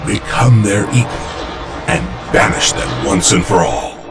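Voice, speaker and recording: A man's voice: fairly low pitch, animated, measured pace, vocal fry. A man narrating a video game intro as a grave, theatrical teller of an apocalyptic horror tale, with music behind him. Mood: grave, ominous. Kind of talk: dramatic scripted narration.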